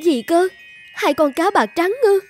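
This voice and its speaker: A woman's voice: very high-pitched, animated, unhurried, a little mellow, faint music behind. An actress playing a wronged, sorrowful young daughter and mother, plaintive and lamenting, in fairy-tale dubbing. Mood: surprised.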